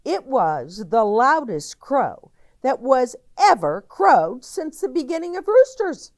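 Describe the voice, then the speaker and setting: A woman's voice: sits high, sing-song, slow pace, darkish tone. A woman hosting a children's story time, reading aloud expressively and explaining things directly to young listeners.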